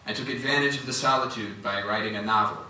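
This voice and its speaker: Man acting a bright, forceful former prisoner. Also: mid-range voice, fast talker. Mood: defiant, resolute. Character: defiant former political prisoner recounting solitary confinement. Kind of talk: theatrical monologue. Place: indoor stage, recorded from far off. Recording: very echoey, distant stage recording, faint noise.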